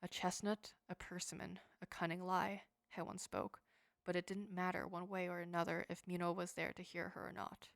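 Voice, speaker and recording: A woman reading a short story aloud in a quiet, steady, restrained way. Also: on the low side, normal speed, gravelly, no room sound, studio quality. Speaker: story narrator. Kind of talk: scripted narration of a short story.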